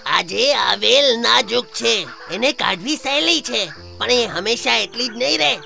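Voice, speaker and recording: A stern, watchful parrot chief warns his flock in a children's cartoon. The voice is heavily gravelled and very harsh and bright, squawky, over faint music. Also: extremely high pitch, expressive, a little slow, full character voice. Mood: stern warning. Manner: urgent, admonishing.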